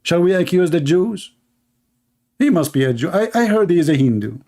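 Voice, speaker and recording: High-voiced man mocking in debate. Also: big pitch swings, normal speed, warm tone. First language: Arabic. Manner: mocking, sarcastic, emphatic. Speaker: debate show host. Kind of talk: live online debate commentary.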